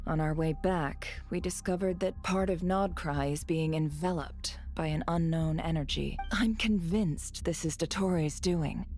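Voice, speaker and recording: A low-pitched, somber woman of a video game delivers grave news with composure. Quiet music runs underneath. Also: a little slow, few pauses. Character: somber, resolute woman reporting trouble.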